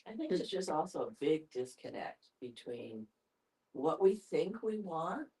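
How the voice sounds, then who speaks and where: Older participant in a reflective discussion, thinking aloud, medium pitch, picked up by a distant mic. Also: sing-song, unhurried, a little mellow. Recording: distant microphone.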